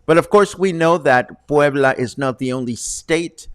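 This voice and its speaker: A man's voice: a little high, unhurried, smooth, no room sound, clean studio sound. A man presenting as a show host in a chatty, conversational way with wry asides. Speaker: host presenting news and interviews.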